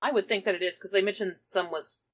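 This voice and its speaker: A woman's voice: medium pitch, fairly even intonation, quick pace. A woman playing a tabletop roleplaying game, casually narrating and speaking for her character.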